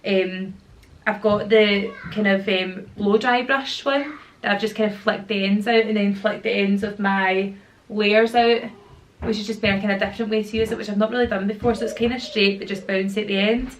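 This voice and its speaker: A woman's voice: mid-range voice, steady tone, normal speed, a little hiss or hum. A woman in her thirties with a Glaswegian Scottish accent, chatting casually to camera at home, rambling and slangy, with a slightly sore throat. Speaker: YouTuber and mum. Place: at home with children nearby.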